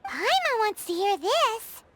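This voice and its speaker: A woman's voice: extremely high pitch, very animated, slow pace, a bit bright, soft background music, heavily acted. A woman voicing a tiny, chirpy, childlike fairy companion with a curious, cheeky manner in a video game. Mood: eager, chirpy. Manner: refers to self in third person, eager, exclamatory. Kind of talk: English video game voice acting.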